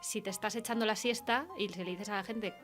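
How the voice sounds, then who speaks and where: A woman's voice: medium pitch, fairly even intonation, fairly quick, a bit bright, soft background music. A woman with a Castilian Spanish accent in a casual literary conversation, explaining her thoughts reflectively with examples.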